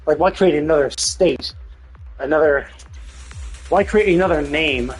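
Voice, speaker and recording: A man with a slightly high, husky voice talks casually through a game on a live stream. He reasons things out loud in an explanatory way and swears mildly. Faint music plays behind him. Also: unhurried. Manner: thinking aloud, explanatory, mildly profane. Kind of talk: casual live gameplay commentary.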